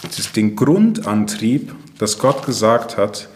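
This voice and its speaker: A man, a pastor and church co-founder, chatting casually on a podcast, relaxed and laughing often, thinking aloud. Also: on the low side, takes it slowly, a little hiss or hum. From Germany. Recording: roomy echo.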